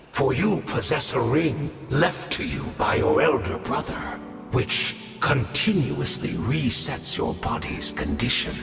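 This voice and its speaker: A gravelly, grandiose exiled villain. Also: male voice, medium pitch, exaggerated voice. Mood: triumphant. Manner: theatrical, polished menace. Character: scheming male exile villain, grandiose and smug. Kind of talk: video game dialogue. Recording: echoey reverb with game music.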